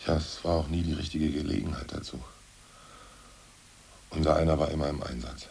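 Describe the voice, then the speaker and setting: An actor playing a gruff jailed ex-party boss in a German radio play, cynical yet secretly sentimental, with a gravelly, husky delivery. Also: male voice, very deep voice, fairly even intonation, fairly quick, darkish tone, a little hiss or hum. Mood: wistful.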